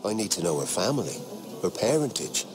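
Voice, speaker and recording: Very low-voiced, confiding lord. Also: male voice, very animated, brisk, over music. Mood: confiding, wary. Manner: courteous, confiding. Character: discreet, gossiping middle-aged lord, courteous but wary. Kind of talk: dramatic fantasy game acting.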